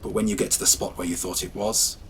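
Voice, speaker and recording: Low-voiced man narrating horror stories. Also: flat delivery, a little hiss or hum. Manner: hushed, slow and ominous in the first tale. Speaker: storyteller. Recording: faint rain ambience behind.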